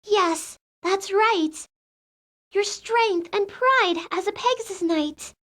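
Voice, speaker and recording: A woman's voice: very high-pitched, takes it slowly, fairly bright tone, exaggerated voice. A woman playing an earnest, affectionate, sheltered young princess with a girlish manner in a video game fandub.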